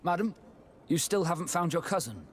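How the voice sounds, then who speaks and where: An actor playing a guarded, formal sea captain in a video game, with a dry, measured manner. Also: male voice, a little high, animated, a little hiss or hum, lightly acted. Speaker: ship captain character. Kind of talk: video game cutscene dialogue acting.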